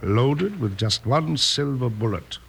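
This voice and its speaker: An actor playing a cold, scheming villain in an old radio western, speaking with mocking, formal politeness. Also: male voice, medium pitch, expressive, slow. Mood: commanding. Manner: smooth, mocking, overly formal. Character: cold, scheming male villain who orders killings. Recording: noisy old radio drama recording.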